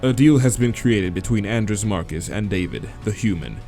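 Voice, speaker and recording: A man's voice: lowish voice, expressive, warm tone, soft background music. A man voicing an imposing, demonic owl man in a visual novel, pompous and formal in his speech. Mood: businesslike, imperious. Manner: pompous, formal, measured wording.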